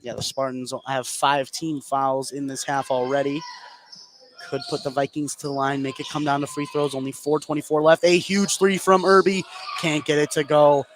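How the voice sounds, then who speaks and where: A man's voice: a little high, little pitch movement, normal speed. An American man doing live radio basketball play-by-play as the game's commentator. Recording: noisy gym ambience behind commentary.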